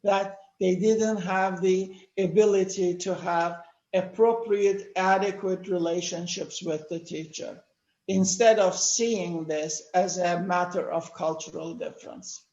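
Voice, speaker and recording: An older man from Turkey, speaking natively, giving a measured lecture-style talk with many pauses. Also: high-pitched, fairly even intonation, darkish tone, reverberant, dull audio. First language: Turkish. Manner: measured, explanatory, with frequent "uh" pauses. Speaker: panel speaker. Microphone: video call.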